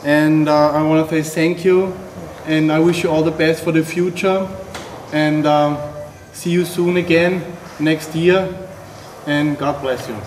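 Higher-voiced man interviewing, flat delivery, echoey. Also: unhurried. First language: not English. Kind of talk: unscripted interview questions. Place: seated indoors in a gym lounge. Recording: echoey hall, faint background noise.

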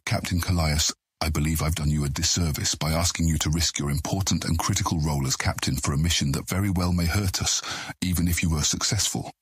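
A man playing a commanding, shrewd guild deputy with a booming husky voice and deliberate, formal delivery in a fantasy audiobook. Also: fairly even intonation, dry recording, studio quality, exaggerated voice. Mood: measured, grave. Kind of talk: full-cast fantasy audiobook acting.

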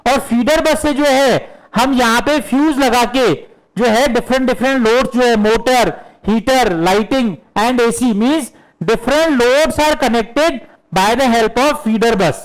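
Middle-aged man, Indian accent, very high voice, unhurried lecture, headset mic. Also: poor audio. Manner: explanatory, asks and answers his own questions. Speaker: electrical engineering lecturer. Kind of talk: whiteboard lecture mixing Hindi and English. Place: indoor classroom studio with whiteboard.

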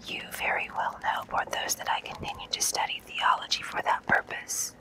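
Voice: normal speed, lightly acted. Reader voicing a mild, witty whispering musketeer. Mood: assured. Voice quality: soft breathy whisper. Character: patient, mild, witty young musketeer man studying theology.

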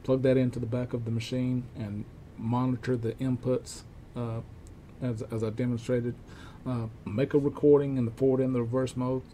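An older man giving an informal instructional demonstration, explaining step by step in a halting, methodical way, recorded in a workshop. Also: mid-range voice. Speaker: repair technician demonstrating equipment checks. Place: cluttered indoor electronics workshop. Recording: clear steady background room noise.

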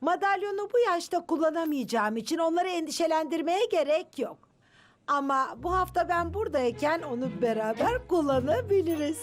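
A woman voicing a kindly, expressive storytelling grandmother in a dubbed children's cartoon. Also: extremely high pitch, normal speed. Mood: earnest. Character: kindly grandmother, storytelling keeper of a magic locket. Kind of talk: animated children's cartoon dub. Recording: music behind the voice.

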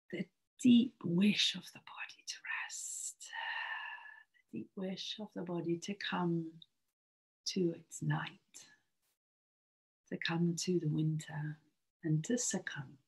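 A woman leads a guided relaxation at a very slow, unhurried pace. Her voice is husky and breathy, often sinking toward a whisper. It has a soft crackle of fry at the edges, and she speaks soothingly throughout. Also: lowish voice. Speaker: yoga nidra guide.

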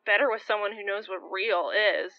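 A woman's voice: medium pitch, normal speed, dry recording, highs cut off, lightly acted. A woman playing a confident, playful young woman with a breezy, teasing manner in an audio drama. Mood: wry. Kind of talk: naturalistic audio drama dialogue.

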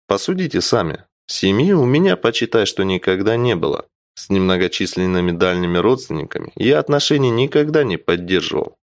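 A man's voice: deep voice, dry recording, clean studio sound. A man narrating an audiobook in the first person with a wry, theatrical storytelling delivery.

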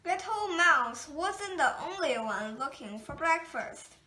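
A woman reads a children's picture book aloud at a slow, gentle storyteller's pace. Her voice sits fairly high and sounds clear and bright. The room around her is echoey. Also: lively intonation, slight background noise.

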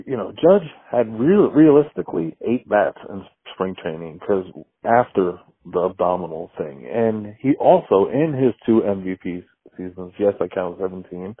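A man leaving a casual voicemail opinion as a caller, speaking in a halting way. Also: on the low side, husky, dry recording. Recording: muffled, narrow phone voicemail.

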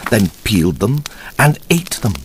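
A man narrating a dark verse tale as a storyteller, theatrical, deliberate and ominous, with a husky deep voice. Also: big pitch swings, slight background noise, poor audio. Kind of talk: dramatic scripted storytelling in verse.

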